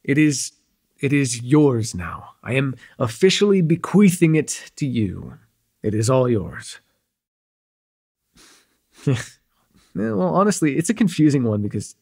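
Man acting a breathy, cocky young male vampire. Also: medium pitch, expressive, a little slow, pauses often, a little mellow. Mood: musing, playful. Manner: rambling, playful, slangy, hesitant asides. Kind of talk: immersive ASMR roleplay acting, casual and conversational.

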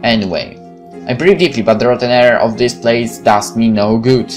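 A man reading a visual novel aloud in a theatrical, expressive manner, with spoken asides. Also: medium pitch, moderate pace. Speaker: gaming channel narrator. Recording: music behind the voice.

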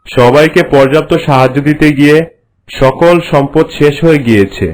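A man's voice: fairly high pitch, normal speed, close to an everyday voice, poor audio. Formal, deferential royal minister, acted by a man. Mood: reporting, deferential. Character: dutiful, respectful royal minister of a kingdom. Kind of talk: cartoon voice acting.

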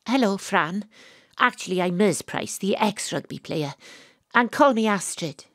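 A woman's voice: medium pitch, expressive, a little slow, no room sound, clean studio sound, lightly acted. An actress as a wry female private detective. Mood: curt. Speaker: narrator and lead character. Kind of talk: first-person audio drama narration.

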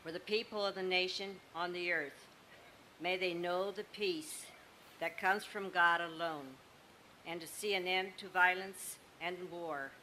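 Elderly female reader, bright edge to the voice, formal prayer at a lectern, faint hiss. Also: fairly low pitch, fairly even intonation, unhurried, rough recording. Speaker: reader of intercessions. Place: large tent with congregation. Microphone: gooseneck lectern microphone.

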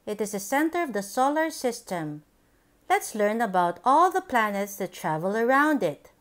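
A woman reading a picture book aloud for young children, with simple, deliberate, expressive phrasing. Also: a little high. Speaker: children's book reader, channel host.

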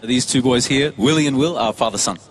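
A man's voice: mid-range voice, a little fast, noisy background. Laid-back man in his thirties. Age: 30s. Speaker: contestant. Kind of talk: casual chat. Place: backstage of a TV show. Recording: interview with loud music over it.

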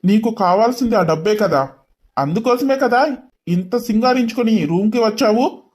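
A woman's voice: a little high, expressive, normal speed, darkish tone, voice pushed down. Woman acting cold, angry young husband. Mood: angry, accusing. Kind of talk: dramatized story narration.

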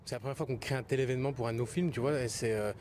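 Man, thirties, rapid casual interviewee, faint background noise. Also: mid-range voice, a little mellow. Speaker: filmmaker being interviewed.

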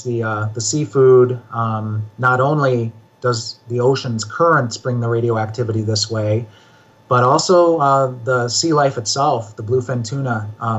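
An American man in his forties explaining as an expert guest over a video call. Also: mid-range voice. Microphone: computer video call.

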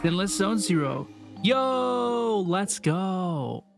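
A woman streamer with a mid-pitched voice reacts casually to game videos. She is openly enthusiastic and gushes about what she watches, with prominent music behind her. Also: sing-song, drawn-out words, vocal fry. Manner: gushing, enthusiastic. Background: music and game video audio.

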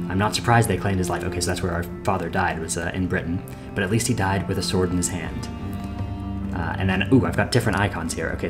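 Low-voiced young man reading game dialogue. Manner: reads character lines plainly, then chats casually with pauses and fillers. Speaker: let's play host. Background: game music.

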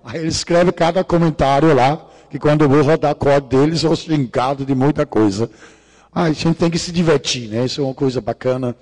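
A man's voice: on the high side, brisk, darkish tone. A middle-aged man from Denmark speaking Portuguese with a Danish accent, giving a jokey, irreverent conference talk with casual swearing and grammar slips. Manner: non-native grammar slips. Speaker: lecturer. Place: large lecture hall. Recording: reverberant hall.

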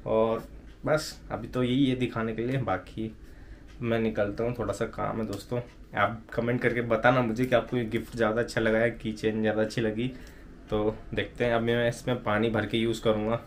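A man's voice: fairly low pitch, flat delivery, fairly quick, a little hiss or hum. A young man, chatting casually to his vlog viewers and calling them brother and friends. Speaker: vlogger. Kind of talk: casual vlog chat to camera. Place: outdoors by a road at dawn, later indoors in a room.

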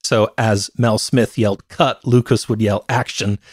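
A man's voice: on the low side, steady tone, unhurried, no room sound. Middle-aged man with a dry, close sound. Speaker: movie podcast host. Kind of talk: conversational film history storytelling. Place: small cluttered home office, indoors. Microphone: close podcast microphone with headphones.

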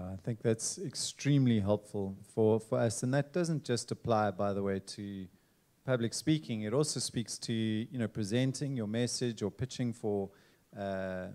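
A man's voice: lowish voice, mellow. A middle-aged man with a South African accent hosting a panel on stage with a handheld mic, chatty and joking. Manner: chatty, quick to joke. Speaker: event host. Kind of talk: moderating a panel. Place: stage in event venue.

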